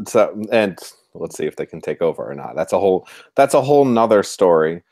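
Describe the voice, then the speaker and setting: Man, lowish voice, podcast host, casual interviewing, very dry sound. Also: big pitch swings, fairly quick, darkish tone, no room sound.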